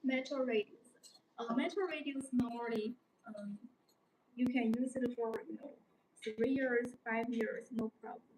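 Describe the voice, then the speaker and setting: A woman's voice: on the high side, darkish tone. Young woman, non-native English, live product presenter, repetitive explaining, echoey room, faint background noise. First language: not English. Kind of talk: unscripted live sales demonstration. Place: indoor showroom studio with desk and backdrop. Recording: roomy, distant mic, background noise.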